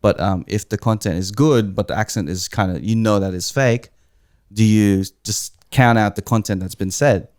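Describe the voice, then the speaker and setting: A man's voice: lowish voice, normal speed, a little mellow, clean tone, no room sound, clean studio sound. A man hosting a casual podcast discussion, talking earnestly and repeating his points. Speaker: podcast host.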